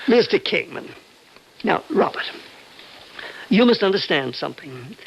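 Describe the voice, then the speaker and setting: An actor playing a patronizing, skeptical doctor in an old-time radio drama, measured and explanatory. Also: male voice, high-pitched, very animated, gravelly, slight background noise, full character voice. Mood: reasoning. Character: patronizing, skeptical doctor reasoning with a troubled patient. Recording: muffled vintage radio recording.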